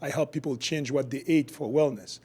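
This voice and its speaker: A man's voice: medium pitch, brisk, a little mellow, breathy edge. A middle-aged man from Haiti, a physician guest explaining his work and views in a podcast interview. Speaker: guest, functional medicine physician.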